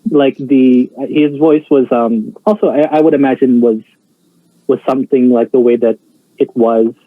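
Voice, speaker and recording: A hesitant man talking over a reaction show, often pausing and restarting. His voice comes through thin and phone-like, with no room sound around it. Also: mid-range voice, steady tone, dry recording. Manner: hesitant, many fillers and restarts. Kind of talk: casual chat. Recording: dry, tinny phone-like sound.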